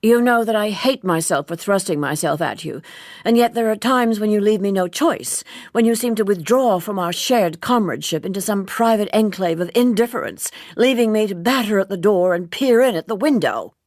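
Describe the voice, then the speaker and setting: A woman's voice: mid-range voice, moderate pace, darkish tone, no room sound, clean studio sound, voice pushed up, lightly acted. A woman voicing an imperious, passionate, wealthy society woman in an audiobook, emphatic and haughty in manner. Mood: reproachful. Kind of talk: audiobook character dialogue.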